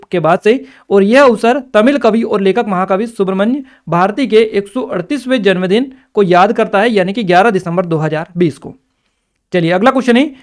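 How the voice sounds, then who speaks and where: A man explaining exam questions step by step as a teacher, addressing students directly and checking they follow. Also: sits high. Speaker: exam-prep teacher and channel presenter.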